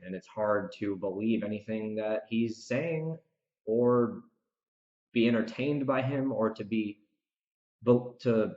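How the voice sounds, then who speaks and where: A man talks alone as a podcast host, working through his commentary with emphatic, repeated phrases. His voice sits at an ordinary male pitch. The recording is roomy and a little dull, with audible echo around his words. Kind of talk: reflective solo recap commentary, part rant.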